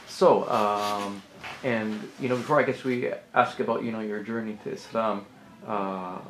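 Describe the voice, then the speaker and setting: Low-voiced male interviewer, echoey room. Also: fairly even intonation, unhurried, fairly bright tone. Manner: encouraging, frequent back-channel murmurs. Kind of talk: casual interview. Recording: echoey room, faint hiss.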